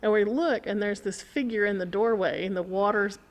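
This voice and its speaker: A middle-aged woman telling stories in casual conversation, in an animated, rambling way. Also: medium pitch, normal speed, rough recording. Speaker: podcast guest or co-host. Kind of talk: casual chat, storytelling.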